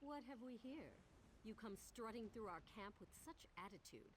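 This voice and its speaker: A haughty woman soldier from a fantasy game who mocks a newcomer, with a high, lively voice, as a woman plays her. Clear noise sits behind the game sound. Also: moderate pace, natural voice. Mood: mocking. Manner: mocking.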